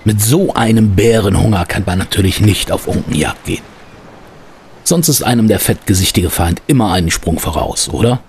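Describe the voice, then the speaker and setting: A man's voice: sits low, a little hiss or hum. A man playing a playful, wry narrator in scripted narration for a detective audio drama. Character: wry male storybook narrator of a youth detective tale.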